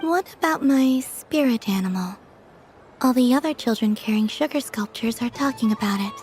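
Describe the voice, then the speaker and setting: A woman's voice: on the high side, animated, moderate pace, darkish tone, faint music behind, lightly acted. A woman voicing a serene, naive young moon goddess in a video game, curious and literal, speaking simply and earnestly.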